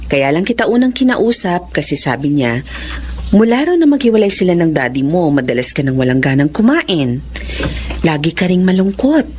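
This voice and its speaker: A woman playing a caring, composed psychiatrist and mother in a Filipino radio drama, measured and reassuring, slipping into English. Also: on the low side, big pitch swings, a little mellow, a little hiss or hum. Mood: calm, reassuring.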